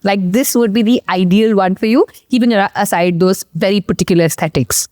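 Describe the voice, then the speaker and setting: A woman host speaks in a conversational interview style at a medium pitch. She is inquisitive, following up with short questions, and the sound is dry with hardly any room in it. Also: fairly quick, a little mellow, dry recording.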